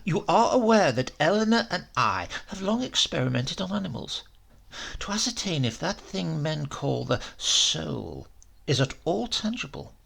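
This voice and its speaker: Man acting a grandiose, gravel-edged mad professor. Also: fairly high pitch, sing-song, a bit bright, exaggerated voice. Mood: proud, intent. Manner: grandiose, intense, deliberate. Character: grim, obsessed male Italian professor of crank science. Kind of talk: dramatised horror audiobook.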